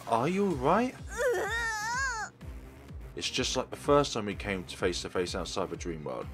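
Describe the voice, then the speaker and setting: A man's voice: on the low side, huge pitch swings, moderate pace. A man hosting a let's play, reading a visual novel's text aloud and dropping casual asides between the lines. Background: faint game music.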